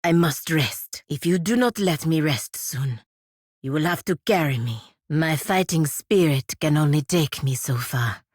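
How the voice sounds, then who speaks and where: A woman's voice: low-pitched, animated, unhurried, darkish tone, slightly rough, no room sound, studio quality, heavily acted. An actress voicing a proud, sardonic woman paladin in a video game, with a formal, clipped, commanding manner. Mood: impatient, sardonic. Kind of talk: video game character voice lines and battle barks.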